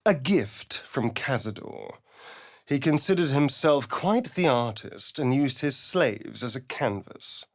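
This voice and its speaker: A man's voice: medium pitch, animated, takes it slowly, no room sound, studio quality. An actor playing a suave, sardonic male vampire spawn in a video game, with an arch, drawling, theatrical manner. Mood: wry, dramatic. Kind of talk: video game character voice lines.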